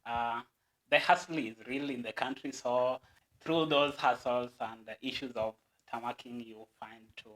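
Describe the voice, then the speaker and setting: A man's voice: mid-range voice, fairly even intonation, unhurried, a bit bright, rough recording. A Kenyan man, a guest, explaining a process earnestly and at length, with stammered restarts.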